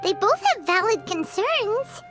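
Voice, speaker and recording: A woman voicing a tiny, excitable floating companion girl who talks about herself in the third person. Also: extremely high pitch, unhurried, heavily acted. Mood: eager. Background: game music.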